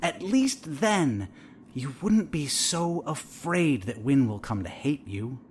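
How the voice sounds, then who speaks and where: An actor playing a calm, probing and coolly manipulative therapist in an audio drama, speaking in a measured, clinical, needling way. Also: male voice, a little high, a little hiss or hum. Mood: coaxing, needling.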